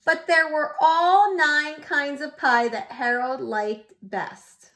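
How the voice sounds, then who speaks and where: A woman preschool teacher reading a picture book aloud to young children, expressive and pausing to ask them questions. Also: high voice.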